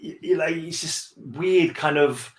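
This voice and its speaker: A man's voice: on the high side, a little slow, echoey room. A man chatting casually as host of a book read-along, rambling and repeating phrases as he searches for words. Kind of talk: casual book discussion chat.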